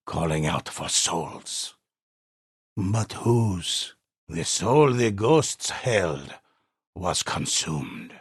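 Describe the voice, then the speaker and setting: Old male storyteller for a game: solemn, gravelly, dramatic narration with wide swoops in pitch. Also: fairly bright tone, no room sound, clean studio sound, full character voice. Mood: dark, foreboding. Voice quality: husky, gravelly. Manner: solemn, ominous, theatrical.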